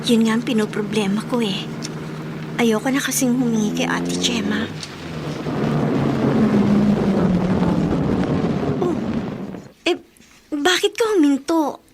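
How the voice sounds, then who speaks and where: A woman's voice: fairly high pitch, animated, normal speed, vocal fry, pauses often, very noisy. A woman playing a proud, resentful young student in a Tagalog radio drama, with an emotional, accusing delivery. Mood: uneasy, hesitant.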